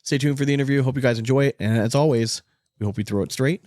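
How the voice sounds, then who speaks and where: A man's voice: mid-range voice, fast talker, darkish tone, dry recording, clean studio sound. A man co-hosting a podcast in casual, informal chat, loosely swearing here and there. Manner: informal, lightly profane. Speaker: podcast co-host.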